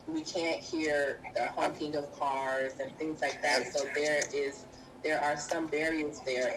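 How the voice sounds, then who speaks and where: A woman interpreting sign language into speech for a deaf guest, in measured, halting phrases. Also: sits low. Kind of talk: live spoken interpretation in an interview. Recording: noisy field recording.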